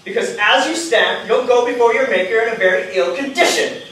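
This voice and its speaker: High-voiced principled statesman played by a man, echoey stage. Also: animated, a bit bright. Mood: impassioned. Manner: declamatory, measured, formal. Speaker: stage actor. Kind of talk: theatrical period stage acting. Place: large theatre stage, indoors. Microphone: distant camera in the audience. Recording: echoey theatre from far off, background noise.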